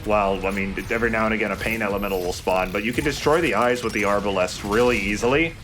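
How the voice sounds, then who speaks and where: Low voiced guy doing casual lets play commentary, explaining stuff, game music behind him. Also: fast, fairly bright tone. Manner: explanatory, conversational. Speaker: let's play host.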